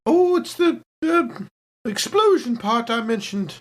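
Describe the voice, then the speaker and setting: A woman playing a polite, evasive female saboteur in a space horror roleplaying game, genteel and gently understated. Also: on the high side, lively intonation, a little slow. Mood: apologetic.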